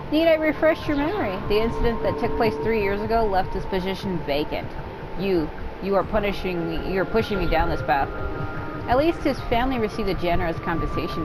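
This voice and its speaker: A woman's voice: medium pitch, low-quality recording. A woman reading game story text aloud, stumbling over words now and then and adding her own asides. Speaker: gaming YouTuber.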